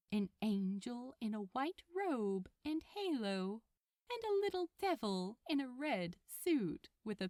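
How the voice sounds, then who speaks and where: A woman gives an expressive scripted reading of a story. Her voice is a little high and very melodic, and she takes her time. The recording is dry, with hardly any room sound. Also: no room sound. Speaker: podfic narrator.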